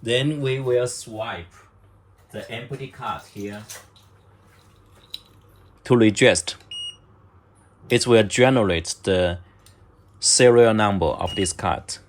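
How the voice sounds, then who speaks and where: A man narrating step-by-step instructions in halting, short clipped phrases, as a product demonstrator. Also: fairly low pitch, slight background noise. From China.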